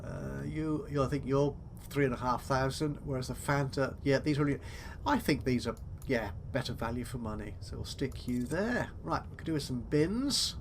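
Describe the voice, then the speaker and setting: Male gaming host, mid-pitched, chatty, talks to himself, faint noise behind. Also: normal speed. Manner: chatty, thinking aloud, talking to himself. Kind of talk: casual let's play commentary.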